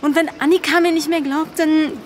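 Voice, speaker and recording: A woman's voice: sits high, steady tone, fairly quick, natural voice. An actress playing an anxious, exhausted female undercover investigator in an audio drama, hesitant and faltering, sometimes half whispering. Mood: panicky, hesitant. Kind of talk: naturalistic audio drama acting. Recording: noisy outdoor ambience.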